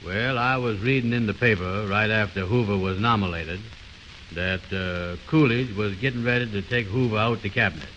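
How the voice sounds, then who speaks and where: A man's voice: on the low side, moderate pace, a bit bright, dull audio, a little hiss or hum, lightly acted. A man playing a blustering, muddle-headed know-it-all in a 1920s radio comedy, with pompous, folksy, ungrammatical talk on an old recording.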